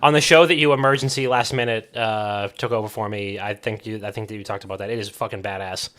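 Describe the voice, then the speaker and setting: A man's voice: lowish voice, fairly even intonation, fast talker, fairly bright tone. A man co-hosting a gaming podcast, talking casually in slangy, profane, bro-like language. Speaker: podcast co-host.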